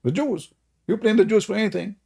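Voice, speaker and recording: A man's voice: high-pitched, fairly quick, vocal fry, mellow, husky. A man whose first language is Arabic, hosting sarcastic religious commentary in a mocking, theatrical way. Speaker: host.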